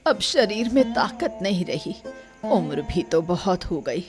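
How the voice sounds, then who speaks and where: A woman acts out a lonely, kindly old woman from a cartoon moral tale. She speaks very slowly and with weary resignation at a medium pitch. Soft music plays behind her. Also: lively intonation. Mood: weary, resigned. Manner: slow, sighing, resigned. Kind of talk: Hindi moral-story cartoon dubbing.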